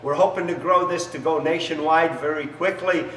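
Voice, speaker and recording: A man's voice: steady tone, normal speed, a bit bright, slight background noise. Enthusiastic non-native man in echoey garage. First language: not English. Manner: enthusiastic salesy pitch with broken phrasing. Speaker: business founder. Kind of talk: promotional demonstration. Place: large garage workshop. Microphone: camera mic from a few steps away. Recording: echoey garage, distant mic.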